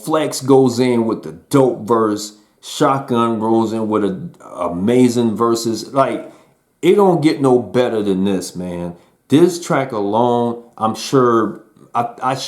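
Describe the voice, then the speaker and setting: A man's voice: mid-range voice, expressive, slow, darkish tone. A man giving a casual spoken music review in a laid-back, slangy way, peppering his talk with "man" and "um". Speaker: album reviewer.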